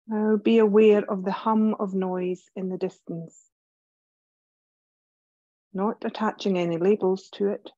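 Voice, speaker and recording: Very slow, soft-toned woman guiding meditation. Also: medium pitch, no echo, muffled recording. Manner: gentle, soothing, measured with pauses. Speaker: yoga nidra meditation teacher. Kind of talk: guided relaxation meditation.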